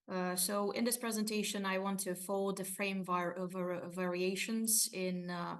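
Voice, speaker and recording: A woman whose first language is Hungarian, giving a formal academic conference talk over a video call, halting often on fillers. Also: on the low side. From Romania. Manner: hesitant, many fillers, formal phrasing. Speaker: conference presenter, associate professor. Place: university office, construction noise nearby.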